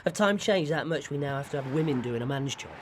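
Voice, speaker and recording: A man acting in a radio drama as a gruff, sardonic, middle-aged retired criminal who is stubborn and dismissive. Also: fairly high pitch, animated, fast, a little hiss or hum, natural voice. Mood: curt, scornful. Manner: curt, sardonic.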